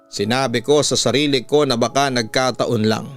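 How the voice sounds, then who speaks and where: A Filipino man with a mid-pitched voice narrates a horror letter like a radio announcer. He tells it in a measured, dramatic way with deliberate pauses. Instrumental music plays softly underneath. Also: steady tone. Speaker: radio announcer and host reading listener letters.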